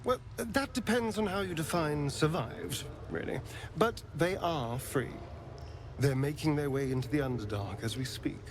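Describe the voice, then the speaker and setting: An actor playing a weary, wry vampire spawn in a dramatic video game, with halting, deliberate phrasing. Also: male voice, a little high, lively intonation, slight background noise, close to an everyday voice. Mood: wry, firm. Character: weary male vampire spawn, wry, newly freed after centuries. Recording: game music behind the voice.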